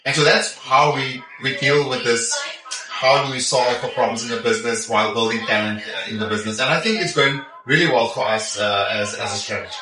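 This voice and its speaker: A man's voice: mid-range voice, steady tone, a little fast. Middle-aged South African man, echoey crowded recording. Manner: frequent "yeah?" check-in tags. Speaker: technology executive being interviewed. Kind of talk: conversational interview answers with anecdotes. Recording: echoey, distant mic, busy crowd chatter.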